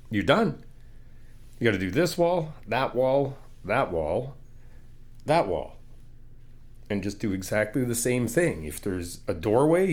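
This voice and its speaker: Encouraging man in an ASMR roleplay. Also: medium pitch. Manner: encouraging and playful, laughs often; calm step-by-step explaining. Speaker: ASMR roleplay creator playing a friend. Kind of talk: ASMR friend roleplay, talking to the listener.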